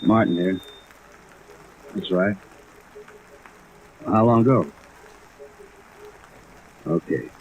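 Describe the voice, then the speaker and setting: A man's voice: sits low, very slow, long pauses. A man playing a blunt, terse, slangy police lieutenant in 1950s radio drama style. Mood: grim, terse. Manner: terse, slangy, hard-boiled. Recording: tinny narrow-band vintage radio, faint rain noise.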